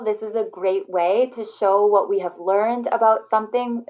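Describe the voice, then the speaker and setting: A woman's voice: medium pitch, muffled recording. A woman teacher giving a lesson to young children, encouraging them with simple, friendly explanations. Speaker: first grade teacher. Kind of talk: read-aloud lesson addressed to children.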